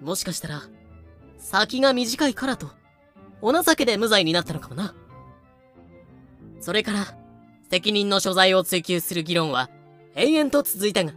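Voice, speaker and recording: A woman's voice: medium pitch, very animated, normal speed, long pauses, a bit bright, faint music behind. Woman acting a beleaguered, earnest colonial official. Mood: earnest, explaining. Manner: earnest, explanatory, aggrieved. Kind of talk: fan-made audio drama acting.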